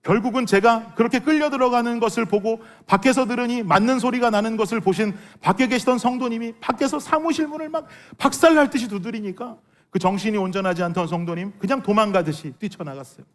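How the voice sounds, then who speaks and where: A middle-aged man with a hoarse, worn voice, preaching an earnest, emphatic sermon as a pastor and putting rhetorical questions to his congregation. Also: very high voice, quick pace. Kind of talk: Sunday sermon with personal testimony.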